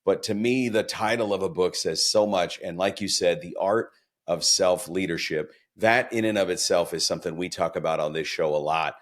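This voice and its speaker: A man's voice: low-pitched, moderate pace. A man hosting a podcast interview, chatty and enthusiastic, asking long winding questions. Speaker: podcast host.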